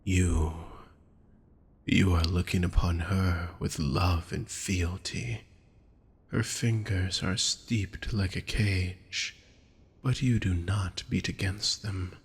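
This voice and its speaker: Man playing a slow, low-voiced possessive vampire. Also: fairly even intonation, exaggerated voice. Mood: entranced, possessive. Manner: slow, theatrical, formal, breathy murmurs. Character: suave possessive male vampire fortune teller, obsessive yet tender. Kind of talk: intimate scripted audio roleplay addressed to the listener.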